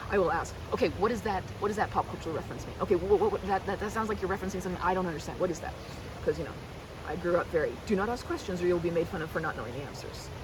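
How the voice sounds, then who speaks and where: Woman playing a chatty, animated best friend, noisy ambience. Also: on the low side, close to an everyday voice. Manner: rambling, animated, frequent self-interruptions and tangents. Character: chatty, self-deprecating, affectionate female best friend rambling to a listener. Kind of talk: casual ASMR roleplay monologue.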